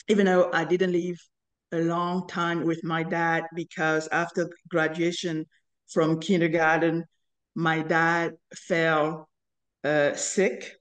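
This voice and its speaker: A woman in her fifties from Cameroon, telling her life story as an interview guest in an earnest, halting way with many pauses. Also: low voice, little pitch movement. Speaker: guest, inventor and company founder.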